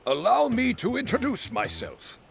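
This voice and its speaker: Gravelly, courteous resistance commander. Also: male voice, extremely high pitch, wildly swooping pitch, soft background music, exaggerated voice. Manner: formal, deferential, measured. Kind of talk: video game voice acting.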